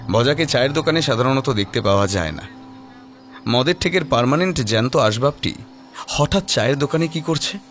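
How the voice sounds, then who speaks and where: A man narrates a horror story with a very expressive, varied delivery. His voice is mid-pitched and sits over clear background music in a dramatic audio production.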